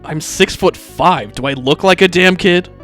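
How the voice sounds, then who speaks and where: A man playing a tall, irritable young man in an anime fan drama, speaking in a gruff, blunt, belligerent manner. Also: high voice, moderate pace, fairly bright tone. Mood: indignant. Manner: blunt, curt, surly. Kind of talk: anime fan fiction character acting. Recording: music behind the voice.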